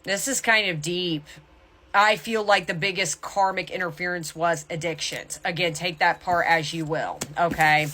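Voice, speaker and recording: Low-pitched woman giving a casual tarot reading. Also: steady tone, moderate pace, sharp tone. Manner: candid, conversational, emphatic asides. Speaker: tarot reader. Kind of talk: casual intuitive reading addressed to viewers.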